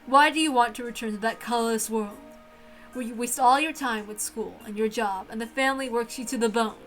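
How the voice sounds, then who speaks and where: A woman's voice: fairly high pitch, fairly quick, fairly bright tone, soft background music, natural voice. A woman voicing a grand, possessive witch in formal, theatrical speech, lonely and insistent, as in a visual novel reading. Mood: insistent, fond.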